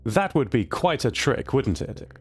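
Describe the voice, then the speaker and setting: A man voicing a chatty, lonely male ship AI stranded deep underwater, talkative and joking. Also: very animated, a little slow, husky, faint music behind. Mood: wry. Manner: talkative, joking, eager for company.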